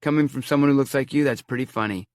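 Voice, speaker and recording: A man's voice: medium pitch, fast talker, a little mellow, dry recording, clean studio sound, pitched above the performer's own voice, close to an everyday voice. A man voicing a sardonic, wisecracking young male college student worried about money.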